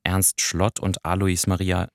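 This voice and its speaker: Low-voiced man in close, dry sound. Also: completely flat delivery, measured pace, dry recording. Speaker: podcast co-host. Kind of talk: conversational radio play review.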